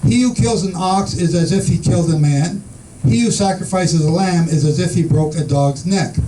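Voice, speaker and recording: A man's voice: on the high side, normal speed, mellow, far from the mic, a little hiss or hum, poor audio. A man teaching an informal Bible study to a small group, reading scripture aloud and asking his listeners questions. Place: room with a small group in the Philippines.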